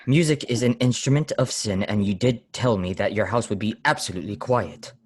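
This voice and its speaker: Guy doing a creepy solemn religious lodger in a radio play, low voice, weary and stern, dry close sound. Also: dry recording, lightly acted. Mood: stern, sharp. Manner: deliberate, solemn, brusque. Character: strange, weary, severe pious lodger, brooding and easily angered. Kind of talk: old-time radio play acting.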